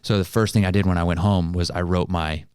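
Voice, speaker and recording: A man with a low, deep voice speaks as a podcast co-host. He explains motivational ideas in casual, colloquial language, and the recording is dry and close. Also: fairly even intonation, fast talker, a little mellow, smooth, dry recording.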